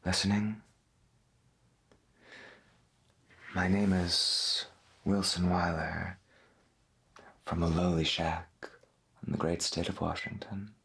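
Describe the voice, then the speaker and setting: Man as a low, gravelly late-night radio host. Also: heavily acted. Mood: hushed hope. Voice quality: husky, gravelly. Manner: hesitant, folksy, murmured, musing. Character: veteran late-night 1990s AM radio host, gentle, wondering. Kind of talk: soft-spoken ASMR radio roleplay.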